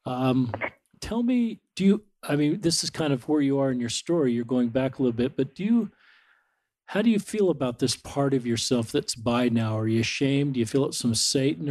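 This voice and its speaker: A man's voice: mid-range voice, fairly quick, darkish tone. A man hosting a podcast interview who validates his guest's feelings and asks several questions in a row. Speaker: podcast host.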